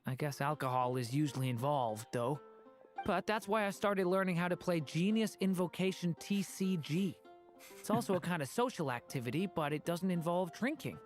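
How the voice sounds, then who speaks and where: An actor voicing a gentle, earnest boy dragon in human form for a video game, soft and youthful. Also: mid-range voice, lively intonation, moderate pace, faint music behind, close to an everyday voice.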